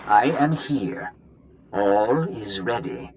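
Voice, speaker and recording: An actor playing a grave, cautious conspirator in a video game, speaking in a halting, solemn way. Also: male voice, lowish voice, lively intonation, a little mellow, a little hiss or hum. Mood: solemn, uneasy.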